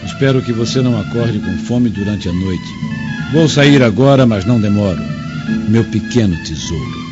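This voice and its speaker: A tender old man in a radio play, played by a man, soft and watchful. His voice rises and falls dramatically in the middle range, under loud music. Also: medium pitch, huge pitch swings, normal speed, muffled recording. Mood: tender concern. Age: older.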